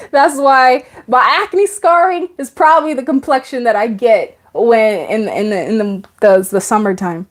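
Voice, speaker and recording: A woman's voice: fairly high pitch, unhurried. Woman, mid-twenties, casual live stream host, chatty, frequent laughter, reading viewer comments.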